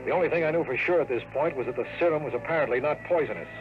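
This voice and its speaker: A man's voice: on the high side, racing, lo-fi sound, close to an everyday voice. A man acting a cocky, sardonic American investigator in an old radio drama, pushy and wisecracking, with clipped slangy talk. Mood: wry narration. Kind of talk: 1950s radio drama acting with first-person narration. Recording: vintage radio recording with faint music.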